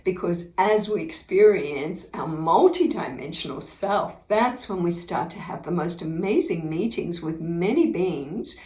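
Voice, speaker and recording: A woman, a spiritual teacher, telling inspirational stories about her own life in an unhurried, earnest way, often breaking into laughter. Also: medium pitch, darkish tone, reverberant, poor audio. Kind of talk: inspirational personal storytelling to camera.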